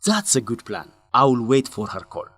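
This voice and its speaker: An actor playing an earnest male journalist in a radio drama, speaking expressively in simple, deliberate sentences. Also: mid-range voice, very animated, a bit bright, husky, no echo, soft background music. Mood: concerned. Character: earnest young male journalist breaking bad news to a friend. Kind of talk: English-learning radio drama acting.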